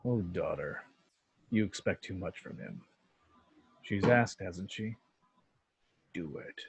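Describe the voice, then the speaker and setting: A man playing a calm, menacing alien tyrant in a table read, with a deep, gravelly voice and a slow, fatherly condescension. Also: full character voice. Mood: calm menace. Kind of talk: amateur table read of a film script.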